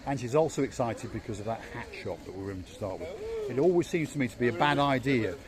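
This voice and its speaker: A man's voice: mid-range voice, animated, fast, a crowd in the background. A man hosting a casual walking-tour vlog, chatty and playful, teasing his companion as he films outdoors. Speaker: vlog host. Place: outdoor holiday resort. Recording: faint outdoor background noise.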